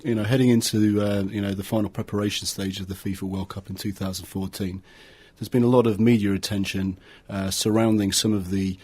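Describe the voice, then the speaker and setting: A man's voice: fairly low pitch, fairly even intonation, fairly quick, hardly any pauses, darkish tone, breathy edge. A man from Argentina explaining things earnestly as a project manager in a radio interview, often repeating his words. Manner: earnest, with frequent repetition. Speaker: project assistant manager, guest.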